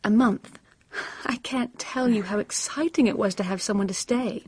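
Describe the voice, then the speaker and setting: An actress playing a timid, downtrodden woman in her twenties in a radio drama, hesitant, subdued and breathy. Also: on the high side, normal speed, darkish tone, low-quality recording. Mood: confiding, troubled. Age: 20s.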